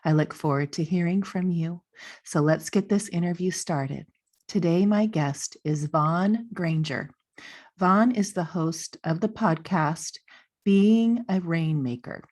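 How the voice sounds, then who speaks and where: A woman's voice: lowish voice, slow pace, darkish tone. A woman in her forties hosting a podcast from her living room over a video call, talking in an upbeat, gushing way with lots of "you know.". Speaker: podcast host. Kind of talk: scripted promo then casual interview chat. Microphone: studio mic on a boom arm, earbuds, video call.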